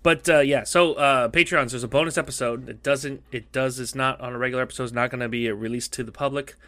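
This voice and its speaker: A man's voice: medium pitch, fairly even intonation, fast talker, a bit bright, dry recording. A male podcast host with a cough, chatting casually in a rambling, jokey way that keeps repeating itself. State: coughing, losing his voice.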